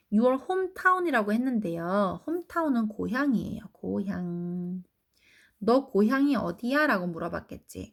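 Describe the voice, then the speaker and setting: A woman teaching English grammar to children, explaining each answer patiently and reading English sentences slowly before translating them. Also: mid-range voice, creaky. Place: academy lecture recording.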